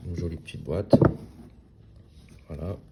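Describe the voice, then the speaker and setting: A man's voice: sits low, measured pace, heavy background noise. A man in his thirties giving a casual, hesitant unboxing review of a watch, with many fillers and restarts. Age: 30s.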